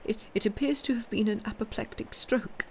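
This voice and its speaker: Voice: fairly even intonation, brisk, creaky, a little hiss or hum, lightly acted, poor audio. A dazed elderly noblewoman, newly widowed, tells her story in a raised, quavering voice, as an audiobook reader puts her on. The voice sits well above the reader's own, thin and tearful, with breaks of emotion. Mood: grief-stricken. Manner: high, trembling, tearful delivery. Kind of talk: audiobook character voice.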